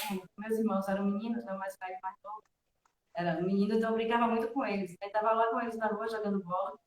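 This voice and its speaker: A woman with a northeastern Brazilian accent, a guest telling personal stories casually and conversationally, often checking for agreement. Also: fairly high pitch. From Salvador, northeastern Brazil. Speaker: interview guest, devotional student. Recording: roomy echo, distant from microphone.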